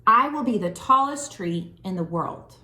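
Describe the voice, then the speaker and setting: A woman's voice: medium pitch, animated, measured pace, bright, forceful tone. A woman as an earnest little girl tree, roomy. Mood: resolute, aspiring. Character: third little tree, devout young female tree. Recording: roomy, echoing room sound.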